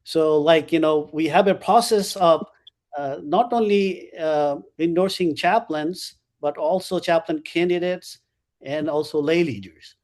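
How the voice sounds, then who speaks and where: Man, chaplain, panel talk, halting, fillers, slightly high voice. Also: darkish tone. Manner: halting, many fillers and restarts. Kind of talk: panel remarks on a video call.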